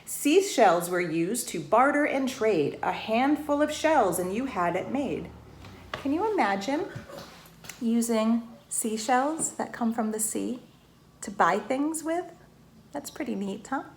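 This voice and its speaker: A woman reading a rhyming children's storybook aloud in an expressive way, pausing to put questions to the young listeners. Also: a little high. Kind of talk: children's storybook read-aloud, rhymed verse with asides to listeners. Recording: roomy echo, faint background noise.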